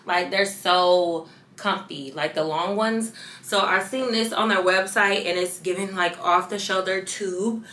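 A young woman in her twenties chatting casually through a clothing haul, lively and loose, dipping into soft asides. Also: fairly low pitch, measured pace, roomy sound. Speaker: fashion vlogger. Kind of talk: casual try-on haul chat.

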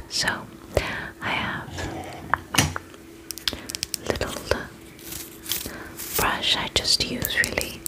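A woman playing a soothing, attentive vampire spa beautician in an ASMR roleplay, whispering gently and reassuringly in a breathy voice. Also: rough recording. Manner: gentle, reassuring, slow and unhurried.

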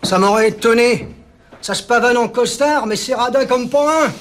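Scornful washroom beggar, male, very high voice, coarse griping slang, radio drama, noisy recording. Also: normal speed, fairly bright tone. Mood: sour, needling. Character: grumbling, begging washroom hanger-on, scornful of men in suits.

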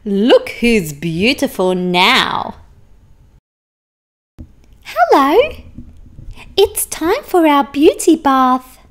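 Woman as a high-pitched, fussy storybook unicorn. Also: big pitch swings, drawn-out words, pauses often, heavily acted. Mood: delighted. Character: vain, fussy female unicorn, easily exasperated. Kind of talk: children's picture book read-aloud.

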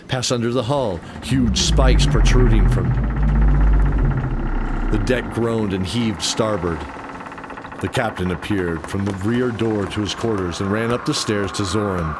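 A man's voice: fairly low pitch, very noisy. A man giving scripted narration of a fantasy adventure story in an audio drama. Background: music.

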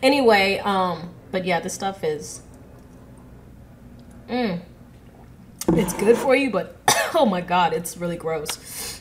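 A woman's voice: on the low side, expressive, slow, pauses often, a little hiss or hum. A woman in her thirties hosting a reaction video, chatting casually to viewers with a stuffy, congested nose, on an earbud mic in her living room. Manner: chatty, giggly, addresses viewers directly. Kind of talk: casual chat to camera. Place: living room at home, indoors. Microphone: earbud headset mic.

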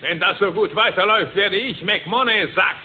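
A man's voice: sits high, moderate pace, close to an everyday voice. An actor playing a greedy, gloating duck tycoon in a cartoon audio drama, a man on dull, noisy tape.

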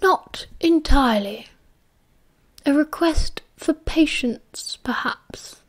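A woman reading as a soft-spoken old wizard. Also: high-pitched, expressive, pitched above the performer's own voice. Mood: calm, measured. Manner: slow, soft, half-whispered delivery. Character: old male headmaster wizard, calm and measured.

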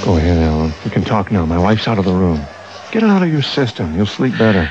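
A man's voice: sits low, big pitch swings, fast. A man acting as a patient, loyal husband in a radio drama, speaking in a placating, guarded, reassuring way. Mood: reassuring concern. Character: patient, loyal husband humouring his troubled friend. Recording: dull radio recording with background music.

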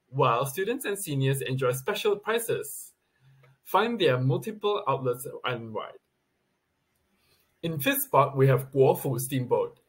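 Man, husky voice, scripted countdown narration, webcam at a desk, plain room. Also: on the high side. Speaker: video presenter.

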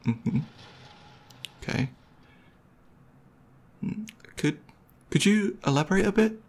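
Man acting a husky, goofy, caring boyfriend. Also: high-pitched, expressive, slow pace, darkish tone, full character voice. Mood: earnest, hesitant. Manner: hesitant, rambling, playful. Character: goofy, caring, slightly nervous boyfriend on a movie date. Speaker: ASMR roleplay performer. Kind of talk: ASMR roleplay, casual intimate chat to the listener.

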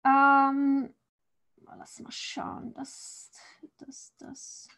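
A woman in her thirties from Japan chats casually through a drawing-stream Q&A, her voice sitting a little high. She rambles with fillers and restarts. The sound is dry and close, from a desk microphone. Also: monotone, drawn-out words, gravelly, a bit bright, no room sound. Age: 30s. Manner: chatty, many fillers and restarts, frequent laughs. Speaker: comic artist answering viewer questions. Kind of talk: casual livestream Q&A while drawing.

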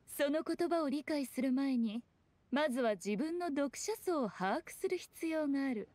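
An actress voicing a sly, ageless fox shrine maiden in a video game, speaking in composed, condescending, archaic lordly language. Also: female voice, high-pitched, animated, takes it slowly. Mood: knowing, instructive. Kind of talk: scripted video game dialogue. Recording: soft music underneath.

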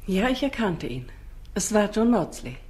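A woman's voice: medium pitch, very animated, moderate pace, darkish tone, close to an everyday voice. An actress playing a guarded, curt female witness on the defensive in a German crime radio play.

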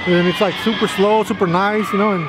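A man's voice: sits high, fairly even intonation, a little fast. A man in his thirties chatting casually to viewers while walking through an outdoor theme park, filming himself at arm's length. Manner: chatty, addresses viewers as guys. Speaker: vlogger. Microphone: handheld camera at arm's length. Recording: loud crowd and outdoor noise.

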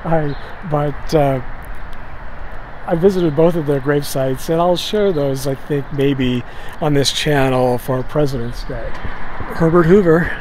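A man's voice: fairly high pitch, slow, darkish tone, poor audio. An older man rambles and muses aloud in casual walking-tour chat, recorded outdoors on a handheld camera. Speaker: vlogger giving a walking tour. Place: outdoors, on quiet residential streets. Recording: outdoor ambient and vehicle noise.

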